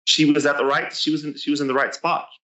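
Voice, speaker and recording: A man's voice: a little high, flat delivery, fast talker, sharp tone, lo-fi sound. A man co-hosting a podcast, talking casually and hesitantly, often restarting his sentences. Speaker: podcast co-host. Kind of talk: casual chat about a reality show.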